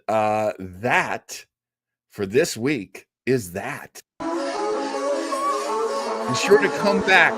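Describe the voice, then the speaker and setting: A man hosting a podcast, wrapping up the episode in casual, rambling chat with self-deprecating asides. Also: medium pitch. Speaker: podcast host.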